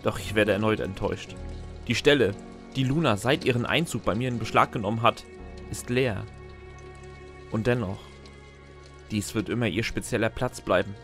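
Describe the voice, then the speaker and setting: A sardonic, sentimental male narrator played by an actor tells his own story in an audio drama. His voice is on the low side and dry, with music faintly underneath. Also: moderate pace, long pauses, a bit bright. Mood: sad, wistful. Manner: dry, sarcastic. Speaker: narrator and lead character. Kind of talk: scripted first-person audio drama narration.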